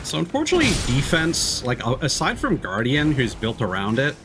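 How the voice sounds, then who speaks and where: A man's voice: mid-range voice, wildly swooping pitch, brisk. A male livestreamer giving casual gameplay commentary, thinking aloud in a rambling, hesitant way. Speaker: video game livestreamer. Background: game music.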